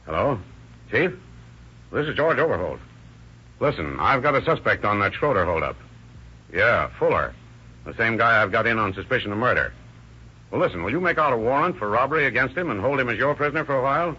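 A shrewd, steady sheriff. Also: male voice, mid-range voice, slightly rough. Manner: measured, authoritative. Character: shrewd, calm male county sheriff. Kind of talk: 1940s radio acting. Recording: dull old radio recording with faint hiss.

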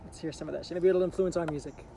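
A man's voice: on the high side, fairly even intonation, creaky, background noise. A young man chatting with friends outdoors, trading wry quips. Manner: wry, quick quips and laughs. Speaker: friend in casual chat. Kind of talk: casual chat.

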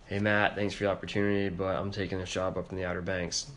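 A soft-spoken, introverted man telling a story in a casual podcast chat, hesitant, with many fillers. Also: deep voice, flat delivery, quick pace, a little hiss or hum. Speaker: podcast co-host.

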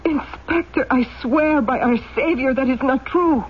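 A woman's voice: sits high, a little slow. An actress playing a poor young widowed mother, defiant and pleading, in an old-time radio drama. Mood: pleading, desperate. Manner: plaintive, indignant. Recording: dull, noisy old radio recording.

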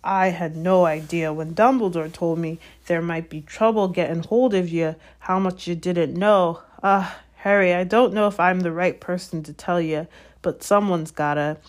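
A woman voicing a gruff but kindly giant man in a storybook, blunt and uneasy, with folksy clipped words. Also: lowish voice, steady tone, normal speed, close to an everyday voice. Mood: anxious, reluctant. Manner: folksy, dropped-consonant dialect speech. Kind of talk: storybook character voice.

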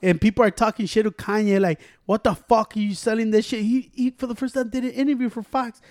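A man chatting as a podcast co-host, fast and profane, switching between Spanish and English. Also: high-pitched, fairly even intonation, a little mellow, no room sound, clean studio sound. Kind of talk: casual chat.

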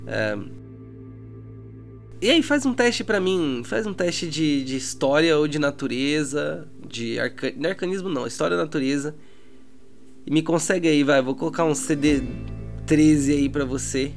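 A man's voice: a little high, expressive, normal speed, gravelly. A Brazilian man narrating a tabletop roleplay as game master, with informal, slangy speech. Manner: colloquial, slangy. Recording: music behind the voice.

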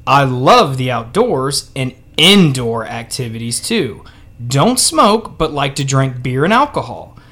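Male co-host, average pitch, casual comedy podcast delivery. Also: big pitch swings, unhurried, fairly bright tone. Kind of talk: casual comedic podcast talk, reading out ads.

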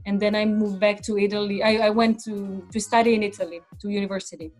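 A woman's voice: medium pitch, level tone, fast talker, a little mellow, soft background music. A woman from Croatia, a guest on an interview podcast, talking casually with many fillers and restarts.

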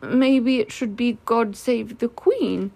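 A woman's voice: fairly high pitch, takes it slowly, gravelly, darkish tone, heavily acted. A woman voicing a simple, earnest male toy bear in a storybook, with a growly, plaintive manner. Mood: bothered. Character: slow-witted, earnest, honey-loving male toy bear.

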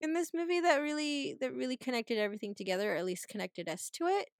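A woman chats casually about a film with a co-host, animated and quick to laugh, often restarting her sentences. Her voice sits fairly high. The sound is dry, with no room echo. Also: clean tone. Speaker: podcast co-host. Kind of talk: casual movie review chat.

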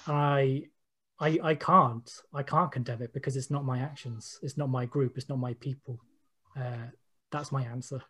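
A man's voice: mid-range voice, fairly even intonation, moderate pace, sharp tone, husky. A British man giving personal testimony in an informal discussion, opinionated and repeating phrases for emphasis. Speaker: interviewee, panel participant.

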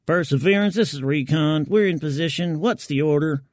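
A man's voice: a little high, normal speed, darkish tone, no room sound, pitched above the performer's own voice, close to an everyday voice. A man voicing a brash military recon soldier in an audiobook, clipped and commanding. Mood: urgent, barking. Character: brash military recon men barking over radio and in field.

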